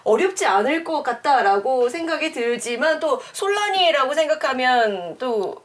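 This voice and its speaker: A woman from Jeju co-hosting a talk show, curious and quick to laugh. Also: high-pitched, very animated. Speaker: co-host.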